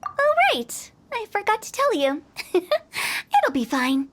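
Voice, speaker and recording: A woman's voice: very high-pitched, very animated, slow, a bit bright, exaggerated voice. An actress playing a playful, mischievous young woman who runs a funeral parlor, talking in a teasing, theatrical way in a game cutscene. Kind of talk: video game voice acting.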